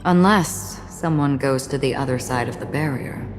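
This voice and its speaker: A woman voicing a calm, enigmatic, solemn wanderer in a video game cutscene, speaking in a measured, stoic way. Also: rumbling low. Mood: calm, probing. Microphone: close studio voice recording. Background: game music.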